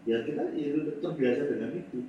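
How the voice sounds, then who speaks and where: A man, a guest in a casual interview, telling lively stories and often finishing the host's phrases. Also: on the high side, fast talker, highs cut off. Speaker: guest, animation studio founder. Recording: echoey room, distant mic, faint noise.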